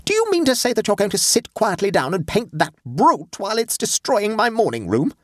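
A man voicing an imperious, indignant lady in a storybook reading, haughty and quick to rage. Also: sits high, wildly swooping pitch, dry recording, clean studio sound, pitched above the performer's own voice, full character voice. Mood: alarmed, outraged. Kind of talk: storybook character voice.

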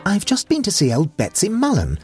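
A man's voice: high voice, huge pitch swings, brisk, slightly rough, soft background music, pitched above the performer's own voice, full character voice. A man reading an audiobook and voicing a mischievous, fanciful sixteen-year-old girl in an airy, conspiratorial way. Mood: teasing.

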